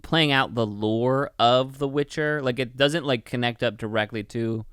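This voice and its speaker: A man's voice: mid-range voice, moderate pace, a bit bright, clean tone, dry recording, clean studio sound. A man co-hosting a podcast in casual chat, rambling and peppering his talk with "like" and swearing. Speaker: podcast co-host. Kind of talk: casual chat, film review.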